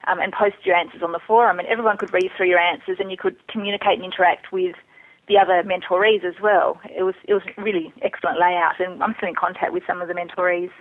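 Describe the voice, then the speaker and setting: A woman's voice: lowish voice, steady tone, fast talker. A woman chatting candidly as a guest in a casual interview, answering questions about herself. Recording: thin narrowband phone or internet call.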